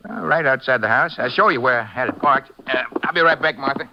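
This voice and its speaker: A man's voice: mid-range voice, fast talker, lightly acted. A man playing a defensive, folksy ex-convict rancher who resents suspicion, in 1950s radio drama style. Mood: easygoing, uneasy. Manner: folksy, protesting, plain-spoken. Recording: muffled, faintly noisy 1950s radio recording.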